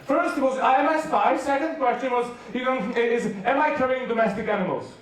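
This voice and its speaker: A man with a Serbian accent tells comic stories in a sermon, acting out every exchange. His voice sits fairly high, and it is picked up from a distance in a big hall full of echo. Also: fairly quick, very noisy. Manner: animated comic storytelling, acts out dialogue. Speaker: preacher. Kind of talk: humorous sermon storytelling. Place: large hall with a youth audience. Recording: echoey hall, voice from far off.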